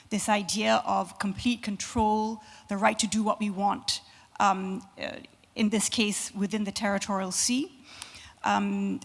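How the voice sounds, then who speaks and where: Hoarse, gravelly woman academic, halting delivery, hall with long reverb. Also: medium pitch. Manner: hesitant, many fillers, thinking aloud. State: hoarse, losing her voice. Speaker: conference panelist. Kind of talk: academic panel response.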